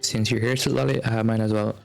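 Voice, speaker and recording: A woman playing a calm, reserved traveling hero in a video game, understated and low-key. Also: fairly quick, vocal fry, faint music behind.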